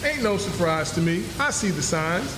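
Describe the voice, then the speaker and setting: Guy doing superstitious runaway in a radio play, folksy talk, pretty high voice, really echoey. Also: animated, normal speed, very noisy. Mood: superstitious, earnest. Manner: folksy dialect, pleading and repeating himself. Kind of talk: stage radio drama acting. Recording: echoey hall, live stage performance.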